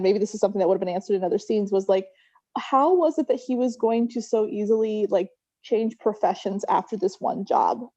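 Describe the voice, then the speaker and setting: A woman's voice: mid-range voice, mellow. A woman giving casual feedback in a tentative way, peppering it with "like". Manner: tentative, heavy use of "like". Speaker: workshop participant giving feedback. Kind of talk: casual chat. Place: online video meeting. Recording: slightly dull video call audio.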